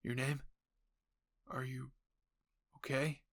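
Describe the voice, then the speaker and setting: A man's voice: medium pitch, little pitch movement, takes it slowly, fairly bright tone. A man voicing an earnest, protective young male soldier in an audio roleplay, pleading and reassuring. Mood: concerned.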